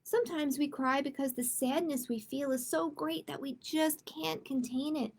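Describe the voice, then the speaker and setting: A woman's voice: sits high, fairly even intonation, normal speed. A woman hosting a children's storytime, reading picture books aloud and chatting expressively and directly with young listeners. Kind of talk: children's read-aloud with casual chat.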